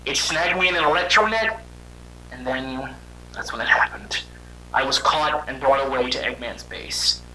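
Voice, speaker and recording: A man voicing a weary young robot hedgehog, telling his story in a halting, conversational and dramatic way for a fan audio drama. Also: medium pitch, pushed and bright, slight background noise, rough recording. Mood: somber, reflective.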